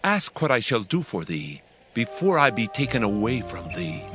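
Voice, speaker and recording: A man playing a grave master prophet in a dramatized Bible, speaking with solemn, measured pleading. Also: mid-range voice, very animated, music in the background, close to an everyday voice.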